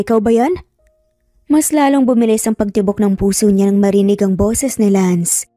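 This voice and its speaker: A woman's voice: a little high, warm tone, dry recording, clean studio sound. A woman with a Filipino accent narrating a dramatic scripted story as a storyteller. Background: music.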